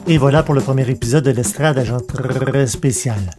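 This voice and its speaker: A man's voice: medium pitch, normal speed, music in the background. A man presenting and interviewing on a podcast in a scripted announcing style.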